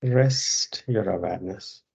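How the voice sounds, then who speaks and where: A yoga teacher guiding a meditation in a calm, measured way, pausing between short phrases, on a dull, muffled recording. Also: low-pitched, breathy edge. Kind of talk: guided meditation instruction.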